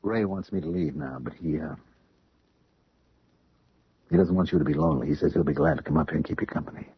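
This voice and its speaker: An American man playing a tormented, submissive young man in a radio drama, earnest and halting, as if confessing. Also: rumbling low, creaky, breathy edge, no room sound, heavily acted. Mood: cowed, hesitant. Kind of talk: 1970s radio drama acting. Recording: muffled old radio drama recording.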